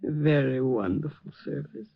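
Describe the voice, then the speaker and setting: An actress playing a mournful widow in a 1950s radio drama. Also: female voice, low-pitched, sing-song, moderate pace, gravelly, breathy edge, no echo, heavily acted. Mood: mournful, wailing. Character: grieving foreign widow, middle-aged or older, theatrically mournful. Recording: muffled old 1950s radio recording.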